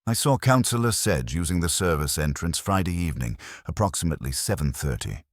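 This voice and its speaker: Guy reading as a nervous young witness in a mystery, careful wording, really deep voice, dry recording. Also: lively intonation, a little fast, no room sound. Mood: reluctant, relieved. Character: uneasy young male witness, reluctantly confessing.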